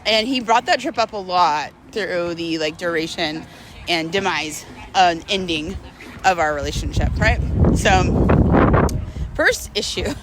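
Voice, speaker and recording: A woman's voice: on the low side, measured pace, noisy background. A young woman telling a personal story in a casual, chatty vlog style, slangy and full of informal asides.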